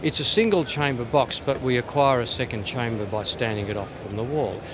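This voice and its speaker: A man's voice: mid-range voice, fairly quick, background noise. A man giving an informal, playful product demonstration as a company representative at a trade show. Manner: tongue-in-cheek, playful.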